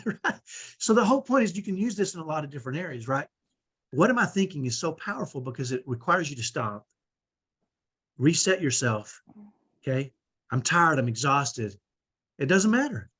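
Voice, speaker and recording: A middle-aged man with a strong, powerful voice, coaching parents in an energetic, emphatic way and often checking in with "right?". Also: on the high side, moderate pace, long pauses, slightly rough. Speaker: host.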